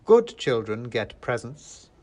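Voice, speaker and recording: A man's voice: mid-range voice, sing-song, dark tone. Man playing a commanding, scornful young lady. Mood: cold accusing. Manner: imperious, deliberate. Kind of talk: storybook character voice.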